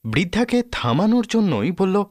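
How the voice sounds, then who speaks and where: A man's voice: high voice, sing-song, moderate pace, no echo, clean studio sound. A man reading a detective story as scripted, dramatic narration.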